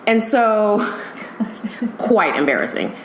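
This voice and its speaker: Animated, laughing woman storyteller. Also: mid-range voice, slow pace. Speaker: podcast guest, TV makeup artist. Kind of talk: casual podcast chat. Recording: echoey room with faint noise.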